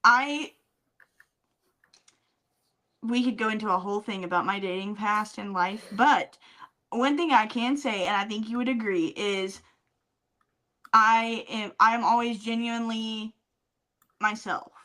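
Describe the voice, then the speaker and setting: A woman's voice: a little high, measured pace, bright, forceful tone. A woman co-hosting a podcast in a casual chat, speaking candidly and bluntly with slang and swearing. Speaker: podcast co-host.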